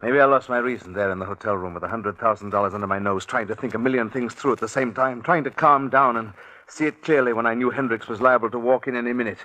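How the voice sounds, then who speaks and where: A man acting a scheming, smooth-talking swindler in a 1940s radio suspense drama, telling his story in a confiding, polite way. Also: medium pitch, quick pace, sharp tone, lightly acted. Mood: tense, confiding. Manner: confiding first-person storytelling, polite in dialogue. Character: scheming, smooth-talking male swindler confessing his long-shot con. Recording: dull 1940s radio recording, music behind.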